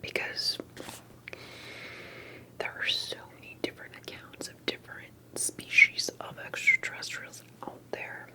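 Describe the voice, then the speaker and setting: A woman speaking in a breathy whisper, gently and deliberately asking questions in an ASMR roleplay interview. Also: poor audio. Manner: soft-spoken, deliberate, questioning.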